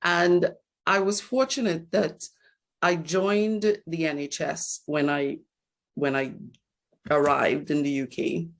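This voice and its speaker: A woman's voice: sits low, steady tone, slow. A woman in her forties from Trinidad and Tobago, an HR executive giving a reflective personal talk at a launch event. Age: 40s. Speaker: guest speaker, HR executive.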